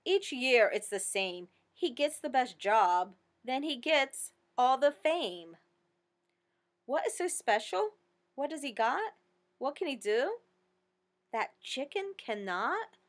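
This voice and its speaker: A woman's voice: sits high, sing-song, takes it slowly, voice pushed up, heavily acted. A woman voicing a jealous, boastful little hen in a storybook, with indignant, emphatic delivery.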